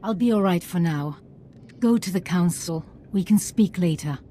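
A woman's voice: lowish voice, moderate pace, warm tone, a little hiss or hum, natural voice. An actress playing a kindly, solemn female Jedi master recovering from illness in a video game. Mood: calm, earnest.

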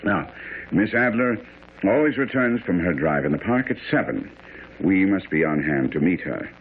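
A commanding, self-assured detective lays out orders precisely and theatrically. The deep voice rises and falls dramatically in a tinny, faintly noisy radio play. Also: male voice, lively intonation, moderate pace. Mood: assured, plotting.

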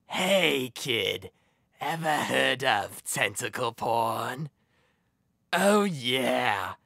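A man's voice: medium pitch, very animated, very slow, creaky, sharp tone, no echo, studio quality, pitched below the performer's own voice, full character voice. A man voicing a creepy, lecherous hero parody in a cartoon voice audition. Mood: leering.

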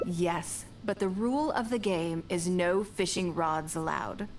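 A woman voicing a poised, shrewd, wealthy businesswoman in a video game, speaking in a measured, genteel, lightly teasing way. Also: on the low side, slight background noise, natural voice. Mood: composed, pleased. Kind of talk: video game dialogue acting. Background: background music.

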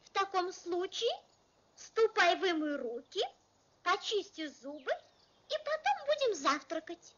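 A performer voicing a prim, bossy little girl doll who scolds like a fussy governess in an animated film. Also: extremely high pitch, slight background noise, heavily acted. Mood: prim, scolding. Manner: prim, scolding, carefully measured. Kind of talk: theatrical animated film voice acting. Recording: dull old film soundtrack.

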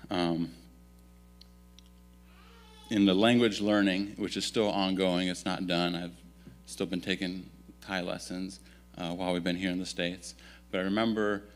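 Low-voiced man speaking to a congregation. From Italy. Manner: relaxed and self-deprecating, with light chuckles. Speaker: missionary giving a church update. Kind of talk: casual storytelling talk to a congregation. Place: church sanctuary, indoors. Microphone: stand microphone.

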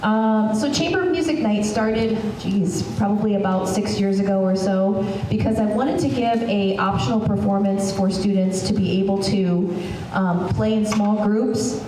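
A woman in her thirties, hoarse from a head cold, giving a friendly welcome speech at a school concert through a handheld mic, with lots of ums. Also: mid-range voice, moderate pace, hardly any pauses, background noise. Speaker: school strings teacher. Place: school hall, large room. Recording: echoey hall, taped from far off.

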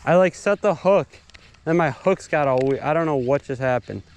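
A young man chatting casually and excitedly in bro-heavy slang while fishing outdoors. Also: a little high, normal speed, slight background noise. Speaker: vlogger angler. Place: outdoors beside a pond. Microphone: body-worn action camera.

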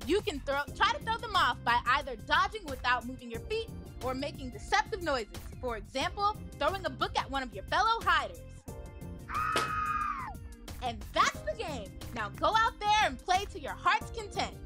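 Female radio presenter, perky and theatrical, high animated voice, light music behind. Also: moderate pace. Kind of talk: scripted comic radio-show announcing.

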